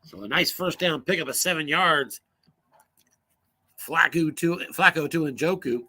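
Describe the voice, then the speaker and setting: A man calls a tabletop football game play by play in a brisk, sharp, forceful voice that sits a touch high. His voice is wearing out, with a strained, hoarse edge. He lifts his energy for the big plays. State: losing his voice, coughing. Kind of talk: solo play-by-play commentary.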